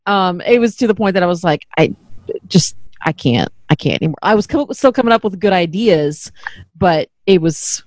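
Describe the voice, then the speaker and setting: A woman's voice: on the low side, lively intonation, normal speed, a little mellow, no room sound. A middle-aged woman hosting a podcast in casual chat, candid and self-deprecating, swearing freely. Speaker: podcast host.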